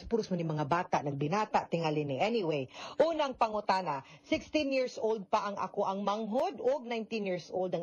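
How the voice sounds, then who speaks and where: A woman's voice: mid-range voice, a little mellow, muffled recording. A woman with a Cebuano Filipino accent hosts a legal advice show, talking through listener letters conversationally and frankly, often adding tag questions. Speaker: radio legal advice host.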